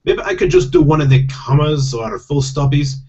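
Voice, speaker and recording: A man voicing a tiny, gruff, stubborn pixie clan leader with a Scottish accent, growling and protesting. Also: a little high, huge pitch swings, normal speed, a little mellow, highs cut off, pitched above the performer's own voice, full character voice. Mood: wary protesting.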